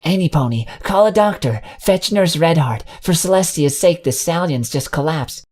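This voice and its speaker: A man's voice: mid-range voice, a little fast, darkish tone, natural voice. A man doing a nervous stammering pony mare. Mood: panicked. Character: anxious young mare pony.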